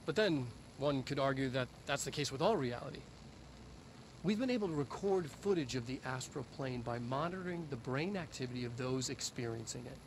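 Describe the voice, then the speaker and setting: An actor playing an eccentric middle-aged male head scientist in a video game, lecturing deliberately and haltingly in an instructional video. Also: medium pitch, expressive, a little hiss or hum, natural voice, low-quality recording. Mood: earnest lecturing. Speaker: head of research. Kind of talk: in-world instructional video presentation.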